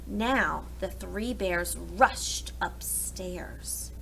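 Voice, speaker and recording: A woman narrating a children's story aloud for preschoolers, with dramatic and deliberate phrasing. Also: fairly low pitch, creaky, noisy background.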